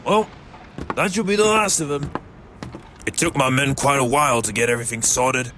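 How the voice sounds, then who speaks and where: A man playing a plain-spoken, excitable foreman of a work crew in an audio drama. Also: mid-range voice, moderate pace, noisy background, close to an everyday voice. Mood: businesslike. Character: working-man foreman, dutiful, easily horrified.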